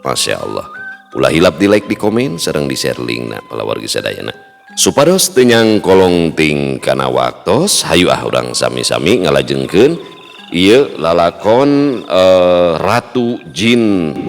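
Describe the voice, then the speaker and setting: A man's voice: low voice, sing-song, measured pace, creaky. A man with a Sundanese accent hosting and narrating folk tales in a casual storyteller style. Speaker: storyteller and host. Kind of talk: folk tale narration and casual host chat. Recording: long hall reverb, music underneath.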